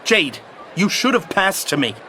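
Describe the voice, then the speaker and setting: An earnest young team captain from a cartoon. He is bossy and impatient, with a high, very animated voice over clear noise. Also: measured pace, exaggerated voice. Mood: indignant. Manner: commanding, impatient.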